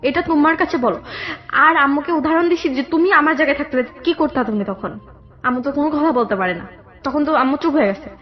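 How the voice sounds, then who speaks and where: A young woman with a Bangladeshi accent telling a personal story conversationally, haltingly, often circling back over details. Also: sits high, lo-fi sound. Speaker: guest telling her life story.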